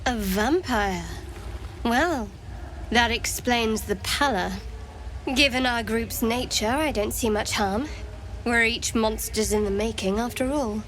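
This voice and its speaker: A woman's voice: a little high, takes it slowly, fairly bright tone, a little hiss or hum, low-quality recording. An actress playing a cool, pragmatic female adventurer in a video game, speaking in a dry, understated way. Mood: dry acceptance. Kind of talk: video game character acting.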